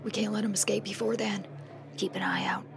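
A woman's voice: medium pitch, level tone, fairly quick, creaky, slight background noise, lightly acted. A woman playing a stern, vengeful, wary infantry soldier who speaks in terse, commanding lines. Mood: suspicious, hushed.

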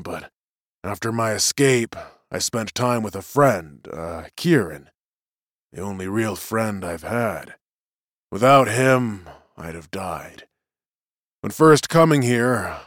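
A man's voice: medium pitch, no room sound, clean studio sound. A man as a remorseful, humbled confessing man. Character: remorseful human fisherman, humbled former criminal.

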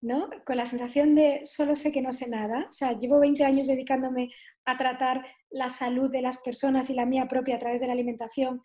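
High-voiced woman in her forties. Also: little pitch movement, fairly quick, mellow. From southern Spain. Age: 40s. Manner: conversational, many fillers and tag questions. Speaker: guest, nutrition therapist. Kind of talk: personal storytelling in an interview.

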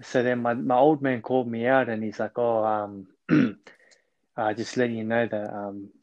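A man's voice: medium pitch, little pitch movement, normal speed, darkish tone, no room sound, highs cut off. A man in his twenties with an Australian accent, a podcast guest on a remote call, telling his story earnestly with many ums and restarts. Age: 20s.

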